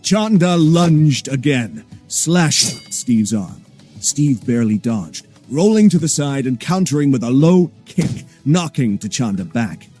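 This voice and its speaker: A man's voice: mid-range voice, big pitch swings, unhurried, mellow, soft background music. A man narrating an action audio drama from a script in a dramatic storytelling style.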